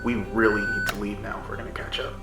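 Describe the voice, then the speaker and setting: An actor playing a cautious, practical explorer in an audio drama, talking in a casual, plain-spoken way. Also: male voice, on the low side, flat delivery, fast talker, natural voice. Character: practical, cautious male explorer, the navigator companion. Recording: music bed under the voice.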